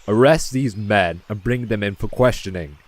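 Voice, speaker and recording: A man's voice: lowish voice, moderate pace, close to an everyday voice. A man playing a stern, menacing general in a comedy sketch, curt and commanding. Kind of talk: comedy sketch villain acting.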